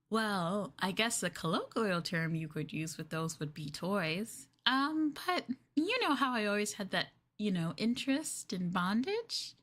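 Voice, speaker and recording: A woman's voice: fairly high pitch, a bit bright, exaggerated voice. A woman playing a sweet, coy, possessive yandere girlfriend in an ASMR roleplay, with a doting, hesitant way of talking. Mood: coy, flustered.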